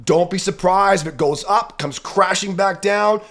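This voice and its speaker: A hoarse, raspy man with a head cold, walking through trading charts with emphatic commentary and a habit of asking "right?". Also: high-pitched, unhurried, fairly bright tone. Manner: emphatic, repeats "right?" for confirmation. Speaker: trading educator.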